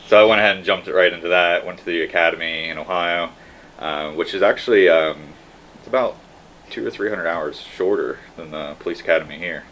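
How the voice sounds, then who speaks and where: A man's voice: sits low, brisk, a bit bright, low-quality recording. A young man from Kentucky with an American accent, a police officer answering as a guest in a hesitant, matter-of-fact way. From Kentucky, United States. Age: young adult. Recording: noticeable background noise.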